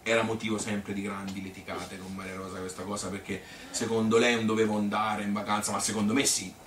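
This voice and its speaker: A man's voice: on the low side, level tone, fast talker, fairly bright tone, lightly acted. A man playing an easygoing Tuscan man who rambles fondly about his youth in a folksy theatrical monologue. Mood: wistful, defensive. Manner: rambling, folksy, self-justifying. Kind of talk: theatrical monologue, colloquial storytelling. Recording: echoey room, distant mic, hissy noise.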